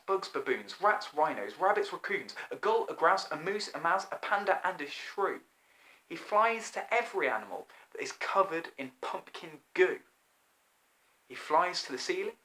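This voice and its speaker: A man in his twenties reading a rhyming children's story in an expressive, deliberate, theatrical way. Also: on the high side, poor audio. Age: 20s. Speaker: author reading own children's book. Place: small plain room indoors.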